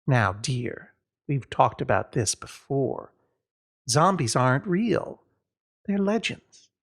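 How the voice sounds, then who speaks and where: A man's voice: medium pitch, very animated, slow, exaggerated voice. Man as storybook mom, prim, patient, condescending, lilting explanations. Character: patient, condescending mother, primly reasonable.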